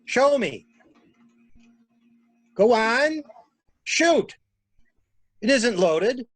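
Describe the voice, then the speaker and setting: A man acting a sharp, sardonic stranded traveler who probes insistently, in a dramatic mystery reading. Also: very high-pitched, big pitch swings, drawn-out words, no room sound, full character voice. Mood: goading. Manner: probing, insistent, theatrical. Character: stranded male traveler turned sharp, sardonic amateur interrogator.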